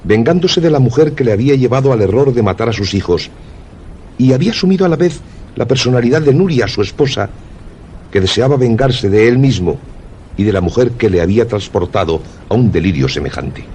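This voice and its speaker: A man playing a somber doctor in a radio drama, speaking in a measured, formal, explanatory way. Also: medium pitch, expressive, warm tone, slight background noise, close to an everyday voice. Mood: grave, explanatory. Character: somber male doctor recounting a grim case.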